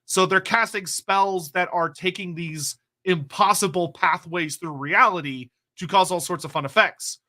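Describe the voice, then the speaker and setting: A young man co-hosting a live stream, his voice pitched high and bright. He rambles with eager enthusiasm, swearing casually as he reads viewer questions and riffs on fantasy lore. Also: measured pace, hardly any pauses. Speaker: co-host reading viewer questions.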